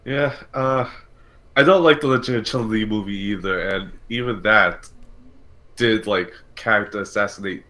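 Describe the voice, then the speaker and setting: A man co-hosting a podcast, giving opinions in casual, halting speech peppered with "like". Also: lowish voice, pushed and bright. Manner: halting, heavy use of "like". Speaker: podcast co-host. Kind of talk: casual chat, opinionated commentary.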